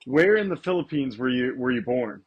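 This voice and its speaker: A man co-hosting a bird podcast, chatty and quick with questions for his guest. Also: medium pitch, animated, slightly rough, dull audio. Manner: chatty, asks questions, frequent restarts. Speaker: podcast co-host. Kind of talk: casual chat.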